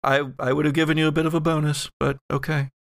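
A man's voice: fairly high pitch, flat delivery, quick pace, creaky, dry recording, studio quality. A man acting as game master, narrating and bantering casually at a tabletop role-playing game. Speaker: game master of an actual-play podcast.

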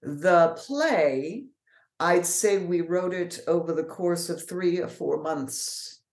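A low-pitched woman speaks with a deeper voice, telling her story frankly and with plenty of energy. She is a guest in a casual interview. Her voice rings in an echoey room. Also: slow, a little mellow. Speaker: author and actor, interview guest.

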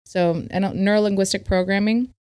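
A woman on a podcast as a guest, explaining breathwork calmly in casual conversation, with easy laughter. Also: medium pitch, brisk, clean tone. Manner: calm, explanatory, laughs easily.

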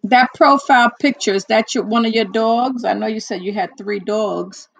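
A hoarse woman in casual one-on-one chat, talking haltingly with many fillers and restarts. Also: fairly high pitch, no room sound, rough recording. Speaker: chat partner.

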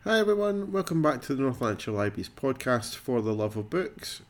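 A man's voice: mid-range voice, big pitch swings, fairly quick. A man hosting a library podcast, introducing readings in a friendly, slightly rambling way.

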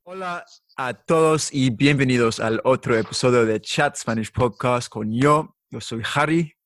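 A man's voice: mid-range voice, unhurried, dry recording. An English man in his twenties hosts a laid-back podcast chat, joking and slangy. His voice sits mid-range and sounds close and dry, with no room around it. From England. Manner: relaxed, slangy, teasing. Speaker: podcast host. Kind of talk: casual chat in Spanish as a learner.

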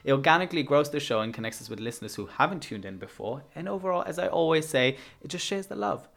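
A podcast host who reads a cheerful intro and then chats with a guest in an upbeat, bouncy way. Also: male voice, expressive, fast talker, vocal fry, fairly bright tone. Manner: upbeat, bouncy delivery with big swings in pitch. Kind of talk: podcast intro and interview chat.

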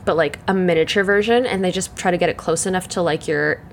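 A young woman hosting her solo podcast, chatting in a rambling way full of fillers, her voice croaky and congested from the morning. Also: fairly low pitch, fast, slight background noise. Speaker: podcast host. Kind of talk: casual solo chat.

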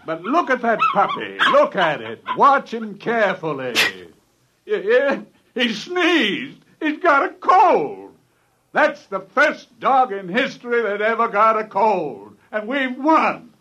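An actor playing an eager, excitable lab scientist with emphatic, dramatic delivery in a 1950s radio play. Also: male voice, high-pitched, slow pace, noisy background, full character voice. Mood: triumphant. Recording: dull old 1950s radio recording.

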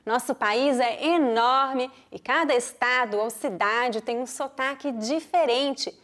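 A woman from Brazil with a mixed Brazilian accent, teaching a pronunciation lesson with clear, deliberate explanations and spoken examples. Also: sits high. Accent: Brazilian Portuguese, mixed regional. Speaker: Portuguese teacher.

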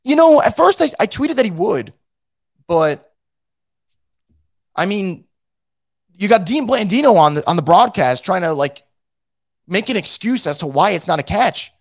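A hoarse man whose voice is nearly gone rants through a casual fan show. His pitch sits high and swings widely, and he talks bluntly, swears freely and restarts his sentences often. The sound is dry and close. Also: big pitch swings, a little slow, pauses often, no echo. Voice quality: blunt and profane, with many restarts. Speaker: fan show host reading live chat. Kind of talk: casual post-game rant.

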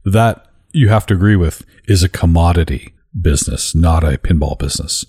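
A man hosting a casual podcast chat, with a deep voice and a joking, blunt way of talking that drops casual swearing. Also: moderate pace, dark tone, husky. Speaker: podcast host.

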